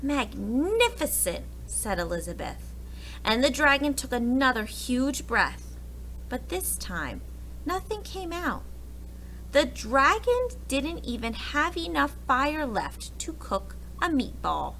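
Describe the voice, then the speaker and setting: A woman teacher reading a storybook aloud to young children in an animated style. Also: sits high, takes it slowly, a little hiss or hum, low-quality recording.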